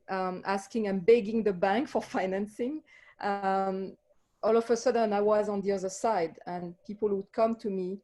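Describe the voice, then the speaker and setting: French-accented woman, mellow voice. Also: mid-range voice. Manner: passionate, reflective, with many ums. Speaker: life coach and guest. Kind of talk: casual interview chat.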